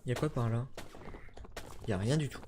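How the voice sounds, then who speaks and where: A man chatting and joking as he streams a shooter game, with sudden shouts breaking through. His voice sits at a middling pitch. Gunfire and game noise rattle clearly behind him. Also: measured pace. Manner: chatty, jokey, shouts in bursts. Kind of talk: casual gaming chat. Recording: noisy game audio with gunfire.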